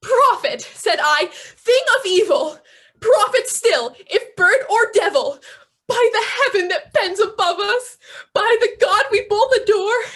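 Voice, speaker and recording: Woman playing a high-pitched, tormented gothic poet. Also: expressive, fairly bright tone, exaggerated voice. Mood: anguished, desperate. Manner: dramatic, impassioned, deliberate enunciation. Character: grief-maddened poet reciting a gothic tale, tormented and desperate. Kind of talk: theatrical radio-play verse recitation.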